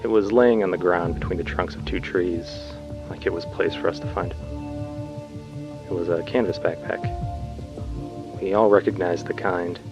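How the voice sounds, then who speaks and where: Guy acting tired uneasy male colonist doing an audio drama log, deep voice, kinda dull lo-fi sound, faint music behind. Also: fairly even intonation, a little slow, long pauses. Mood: tense, grim. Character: weary, uneasy male colonist recording a field message.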